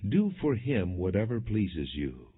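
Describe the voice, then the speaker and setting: A man's voice: lowish voice, very animated, unhurried, husky, heavily acted. A man reading the words of a humble, weary, deferential man of eighty in a scripture audiobook. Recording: dull, muffled lo-fi audio.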